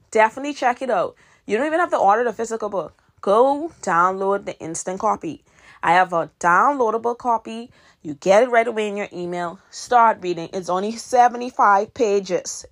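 Earnest young woman giving podcast advice. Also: medium pitch. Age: 20s. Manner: earnest, repeats key points for emphasis. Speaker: podcast host and author. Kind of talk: casual advice monologue.

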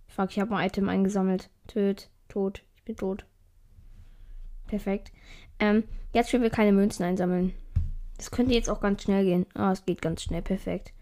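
A young woman talks through a mobile game in a loose, casual way, swearing lightly whenever she fails. Her voice is mellow but a little hoarse and cracks now and then. Her pitch keeps drifting up high. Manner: voice keeps rising high at phrase ends. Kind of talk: casual gameplay commentary.

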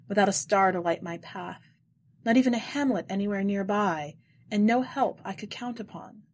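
A woman reading scripted literary narration in a measured, deliberate way. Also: mid-range voice, darkish tone. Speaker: podcast editor and story reader.

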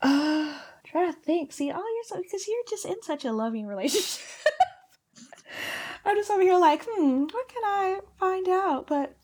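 A woman co-hosting a podcast in casual chat, slightly hoarse, laughing often and filling her talk with "like". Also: very high voice, lively intonation, a little slow, darkish tone. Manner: laughing, chatty, lots of "like" fillers. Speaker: podcast co-host.

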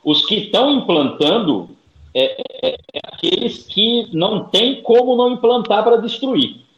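A man with a Brazilian accent speaking as a panelist in a political discussion, haltingly, often repeating his words. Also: high voice, expressive, a bit bright. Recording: dull, noisy, echoey room with clicks.

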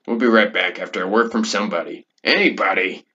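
A man plays a blunt, dim-witted comedy host with a low but bright, forceful voice. He is impatient and exclamatory, brushing people off. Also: fast, slightly rough, highs cut off, heavily acted.

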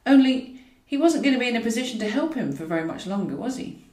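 Female storyteller, wry and animated audiobook read, echoey room sound. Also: on the high side, fast. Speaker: author reading own novel. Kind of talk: scripted audiobook narration of comic fiction. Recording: roomy echo, distant from mic.

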